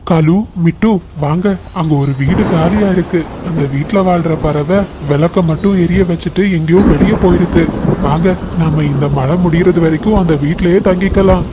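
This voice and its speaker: A friendly pigeon chatters eagerly to the others, voiced for a cartoon. The pitch sits in the middle range. Loud noise runs underneath. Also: normal speed, close to an everyday voice.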